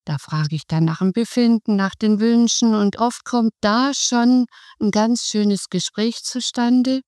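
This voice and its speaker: A middle-aged woman speaks as a guest in a podcast interview, explaining things calmly and in measured steps. Her voice is mellow and warm. The recording is dry and close. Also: a little high, smooth, no room sound. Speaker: hospice volunteer, guest.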